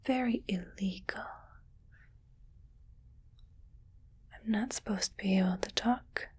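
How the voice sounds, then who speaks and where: A woman voicing a greedy, rich female alien collector in an ASMR roleplay, whispering softly and deliberately with a coolly appraising, possessive manner. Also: medium pitch. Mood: secretive, smug. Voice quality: soft, breathy whisper. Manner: slow, deliberate, pausing between short phrases, coolly appraising.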